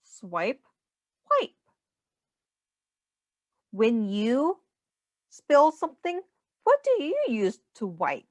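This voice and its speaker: Lady teacher reading a kids book, super slow and sing-songy, voice goes way up and down. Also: fairly high pitch, huge pitch swings, dry recording. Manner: sing-song, simple questions and explanations for young children. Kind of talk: children's read-aloud.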